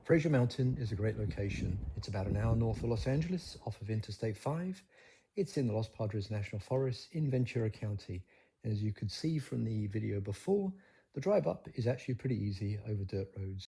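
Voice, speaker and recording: A man's voice: on the low side, lively intonation, a little fast, gravelly, warm tone, breathy edge. A man narrating a hobby documentary from a script as its host.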